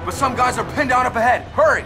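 High, urgent battlefield soldier. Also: male voice, fast talker, over music. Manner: urgent, raised voice. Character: gutsy shouting Allied soldier in battle. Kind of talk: action video game voice acting.

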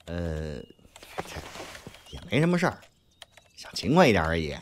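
An actor playing an informal, loyal young underling in an audio drama, slangy and hesitant. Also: male voice, sits low, huge pitch swings, moderate pace, slight background noise. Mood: casual, awkward. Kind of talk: Chinese audio drama acting.

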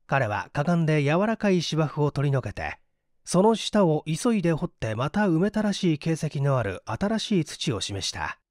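A man's voice: a little high, big pitch swings, normal speed, darkish tone, no echo, studio quality. A man reading a mystery story as scripted narration, with a dramatic manner that acts out the dialogue. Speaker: audiobook reader, stage actor.

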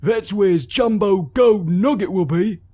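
A man voicing a sneaky, greedy frontier guide villain with a husky voice in a cartoon comic dub. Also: high-pitched, unhurried, warm tone. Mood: sly eagerness. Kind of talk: fan comic dub acting.

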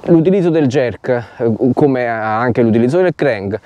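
A middle-aged man explaining fishing technique to camera, haltingly, searching for his words. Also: medium pitch, expressive, highs cut off. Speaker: fishing vlogger. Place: outdoors on a grassy lakeshore.

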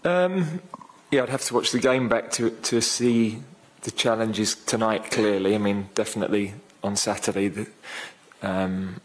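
A man in his fifties, a football manager answering questions at a post-match press conference, speaking in a measured, thoughtful way with many fillers and restarts into a desk microphone. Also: lowish voice, low-quality recording.

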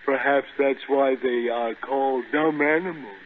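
An actor playing a pompous, lazy glutton obsessed with food, speaking in flowery, mock-learned phrases on 1930s radio. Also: male voice, mid-range voice, steady tone, measured pace, natural voice. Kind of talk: 1930s radio comedy acting. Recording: noisy, tinny 1930s radio recording.

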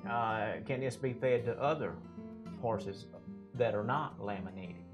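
A man's voice: medium pitch, unhurried, highs cut off. Middle-aged male interviewer with guitar music behind. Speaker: interviewer, company representative. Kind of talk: conversational product interview. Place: indoor video set at a display table. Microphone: clip-on lapel mic. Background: acoustic guitar music.

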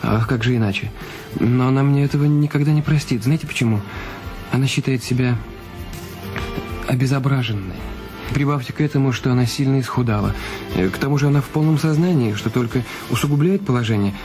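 A man's voice: mid-range voice, a little fast, close to an everyday voice. A man acting an anxious, guilty husband in a radio play, speaking in a hesitant, faltering, self-questioning way. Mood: nervous, evasive. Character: Raoul Duval, anxious, guilty husband with secrets. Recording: music bed under the voice.

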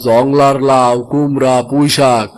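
A man's voice: mid-range voice, little pitch movement, a little slow, lightly acted. A man voicing a poor, worried fisherman father in a cartoon, with a rural Bangla dialect and an earthy, fretful manner. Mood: worried, fatherly. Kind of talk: cartoon voice acting.

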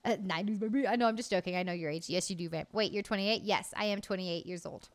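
A woman's voice: mid-range voice, quick pace. Late-20s woman streamer, chill and cheery, swears a lot, desk mic at home. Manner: loose, cheerful, swears freely. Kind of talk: casual chat with live chat. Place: home room, indoors. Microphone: desk mic on arm, headset.

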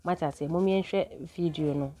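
A woman's voice: deep voice, unhurried, dark tone. A Ghanaian woman hosts her own channel and talks through celebrity gossip in a chatty voice-over. She keeps drawing her listeners in with little questions as she goes. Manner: chatty, asks viewers "what I say?". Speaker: channel host and narrator.

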